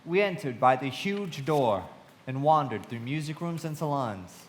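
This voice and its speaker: A man playing a frank young storyteller in a live radio play, heard in a long, noisy hall reverb. His voice sits a little high and moves in lively swings as he delivers literary narration and dialogue. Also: unhurried, natural voice. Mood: reflective, descriptive. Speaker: narrator and actor. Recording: long hall reverb, clear background noise.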